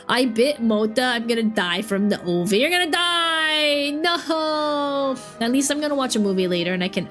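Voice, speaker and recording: A sick, sniffly young woman rambling and giggling in casual livestream chat at home. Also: a little high. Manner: rambling, giggly, self-interrupting. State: sick with a cold. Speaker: streamer.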